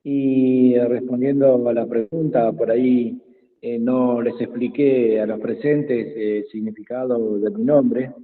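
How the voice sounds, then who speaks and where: Quechua-native man, unhurried, halting; tinny call audio with a long reverb tail. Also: medium pitch, fairly even intonation. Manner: hesitant, many pauses and fillers. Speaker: invited speaker. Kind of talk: slow reflective talk in an online workshop. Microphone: video call.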